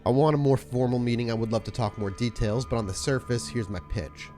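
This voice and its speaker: A man voicing a shrewd, suspicious female vampire gang leader who bargains frankly and haltingly in a tabletop game. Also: mid-range voice, music in the background, lightly acted. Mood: wary, bargaining.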